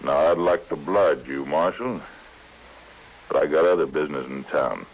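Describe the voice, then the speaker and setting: Low-voiced, taunting Western outlaw. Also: male voice, normal speed, breathy edge, exaggerated voice. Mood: sly. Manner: folksy drawling Western speech, mocking. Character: taunting, menacing male wanted gunslinger, patient and sly. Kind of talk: old-time radio western acting. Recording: muffled old radio recording.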